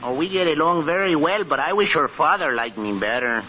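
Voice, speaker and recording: A man's voice: fairly high pitch, animated, a little fast, natural voice. An actor playing a cheerful, simple Mexican handyman with a Mexican accent in 1940s radio comedy style. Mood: rueful, joking. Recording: dull, noisy 1940s radio recording.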